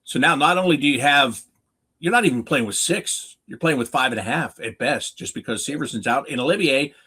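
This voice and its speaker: A man's voice: medium pitch, fairly quick, sharp tone, husky. A North American man giving unscripted sports analysis as a guest, emphatic, often posing questions and answering them himself. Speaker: hockey play-by-play announcer as guest analyst.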